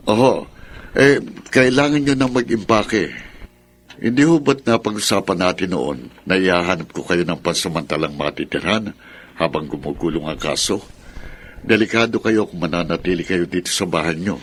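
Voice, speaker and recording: A man's voice: sits low, moderate pace, slight background noise, natural voice. An actor playing a protective, polite and reassuring man who shelters a family in a radio drama. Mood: reassuring, businesslike.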